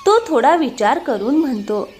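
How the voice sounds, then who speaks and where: Female storyteller, higher-pitched, scripted narration of a moral tale over music. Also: lively intonation, normal speed, a little mellow.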